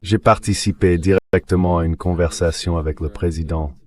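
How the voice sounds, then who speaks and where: A deep, synthetic male voice gives interview answers in the role of a government official. It is an AI dub. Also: steady tone, normal speed, a little mellow.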